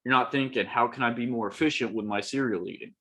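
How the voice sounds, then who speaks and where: A man's voice: medium pitch, fast, pushed and bright, slightly rough. An upbeat young man, chatting as a podcast co-host. He speaks with emphatic, slangy enthusiasm in an ordinary mid-range male voice. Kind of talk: casual chat.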